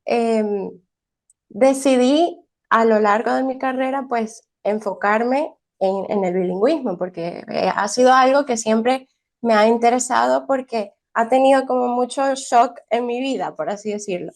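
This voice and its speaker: A young woman with a slightly high voice, speaking as an early childhood teacher from Venezuela. She answers in a thoughtful, conversational way, often restarting her phrases and ending with a questioning tag. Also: unhurried, a little mellow.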